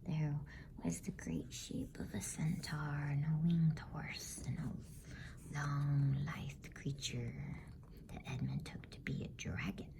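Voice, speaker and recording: A woman reading a bedtime story as ASMR in a hushed, unhurried whisper. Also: low-pitched, level tone, vocal fry, low-quality recording.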